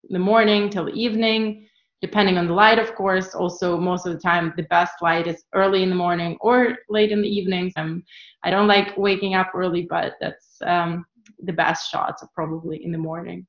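A woman's voice: fairly low pitch, reverberant, lo-fi sound. A woman in her thirties from Ukraine, answering interview questions casually with plenty of fillers and restarts. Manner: relaxed, frequent fillers and restarts. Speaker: guest, travel photographer.

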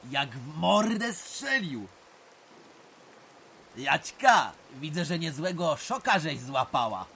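An actor playing a folksy middle-aged uncle telling village tales in colloquial rural speech, in an audio drama. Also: male voice, on the high side, big pitch swings, takes it slowly, vocal fry, bright, forceful tone, heavily acted. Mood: teasing. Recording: rain noise behind the voice. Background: rain.

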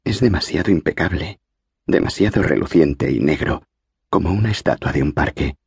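A man's voice: very deep voice, lively intonation, normal speed, vocal fry, darkish tone, husky, no room sound, clean studio sound, full character voice. A man voicing an earnest, solemn schoolboy in an audiobook, speaking in a grand and reverent way. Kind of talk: audiobook character reading.

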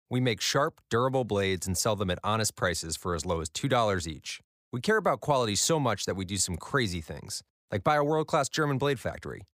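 An American man delivers a scripted advert in a conversational way. His voice is fairly low with lively intonation, recorded close and very dry. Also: no room sound. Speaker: company founder advertising his product.

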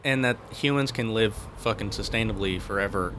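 A laid-back man with a fairly low voice chats casually into a podcast mic. He jokes and swears easily. Faint background noise sits under his voice. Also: a little fast. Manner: laid-back, joking, profane.

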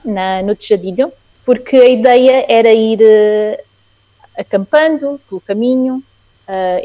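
A woman's voice: fairly high pitch, mellow, no echo, dull audio. A woman from Angola, a podcast guest, telling a travel story hesitantly, often repeating words and giggling.

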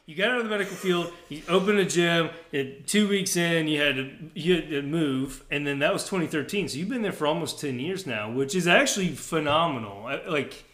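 A man's voice: on the high side, brisk, hardly any pauses, fairly bright tone, roomy sound. A man hosting a podcast, chatting casually with frequent laughs and restarts. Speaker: podcast host, gym owner. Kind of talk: casual chat.

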